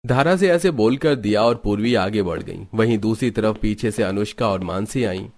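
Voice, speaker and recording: Low-voiced male cartoon narrator. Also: normal speed, darkish tone. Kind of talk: scripted storybook narration.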